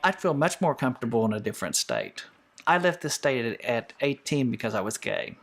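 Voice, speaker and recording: A former Marine in a personal interview, talking frankly and in a rambling way, with a gravelly voice. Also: male voice, medium pitch, very animated, a little fast, over music.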